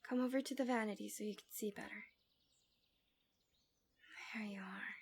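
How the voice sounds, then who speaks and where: A woman voicing a gentle, coaxing, subtly manipulative fantasy villain in an intimate ASMR roleplay, soft and breathy, often near a whisper, close to the mic. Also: medium pitch, fairly even intonation, normal speed, slight background noise, natural voice. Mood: gentle, soothing. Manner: soothing, doting, teasing.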